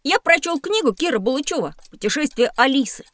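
Bright, high-voiced eager boy. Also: fairly quick, no echo. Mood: wistful, impatient. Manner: excitable, exclamatory. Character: eager, impatient young boy hungry for adventure. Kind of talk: scripted children's educational audio drama.

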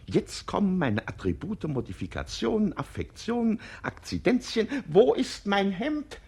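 An actor playing a pompous, muddled old king in a 1950s radio play, raspy and gravelly, talking in flustered philosophical fuss. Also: sits high, big pitch swings, a little slow, darkish tone, exaggerated voice.

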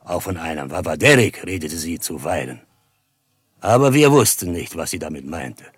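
A man's voice: low voice, expressive, moderate pace, slightly rough, no room sound, studio quality. A male actor playing a dignified chief in a German radio drama, speaking in a solemn, ceremonious, declamatory storyteller's manner. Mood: solemn, recounting. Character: Matoshako, dignified Osage chief telling of past deceit.

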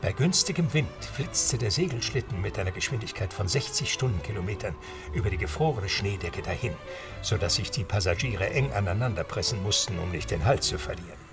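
A man's voice: on the low side, expressive, fairly quick, over music. A man narrating a scripted audio drama as storyteller.

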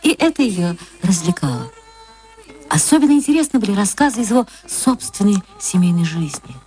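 A woman actress narrating a literary story for radio in a measured, theatrical storytelling manner. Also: mid-range voice, sing-song, warm tone, faint music behind.

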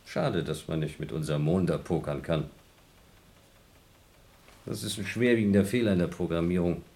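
A radio-play astronaut, restless and wry, at a somewhat low pitch. He sounds easygoing and tossed off. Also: moderate pace, darkish tone. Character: male astronaut on a moon station, restless and wry.

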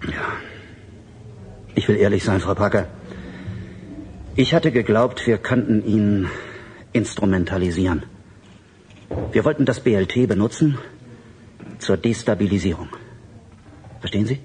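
A man plays a proud senior official, beaten yet defiant, in a radio play. The voice is fairly low, grave and emphatic, with weighty pauses and faint noise behind it. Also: darkish tone, close to an everyday voice. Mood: resigned candour. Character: defeated but defiant, proud male senior government official. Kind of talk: German radio drama acting.

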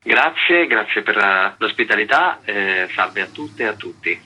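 A man's voice: fairly low pitch, expressive, a little slow, sharp tone, slightly rough, rough recording. A man giving an explanatory radio interview as a festival's artistic director, articulate and wordy with formal, intellectual phrasing.

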